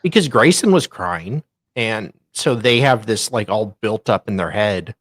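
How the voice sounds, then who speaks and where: A woman's voice: rumbling low, lively intonation, a little slow, dry recording, clean studio sound. A woman co-host reading documents aloud in a theatrical, mocking way, breaking off for casual asides. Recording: faint music behind voice. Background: faint music.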